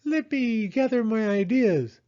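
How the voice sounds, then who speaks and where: A man voicing an eager, self-assured owl in a children's storybook, with hooting, drawn-out exclamations. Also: high voice, animated, mellow, highs cut off, voice pushed up. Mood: excited.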